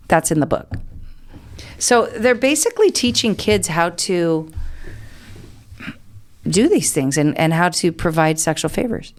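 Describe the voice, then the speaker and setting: A middle-aged American woman answering as an interview guest, explaining things earnestly in a conversational way. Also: fairly low pitch.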